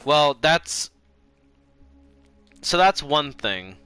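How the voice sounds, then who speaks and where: A man's voice: a little high, very animated, extremely slow, pushed and bright, clear, resonant tone, dry recording, faint music behind. A man chatting casually while livestreaming a game, rambling and circling back over his own sentences. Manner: rambling, easily distracted, repeats himself.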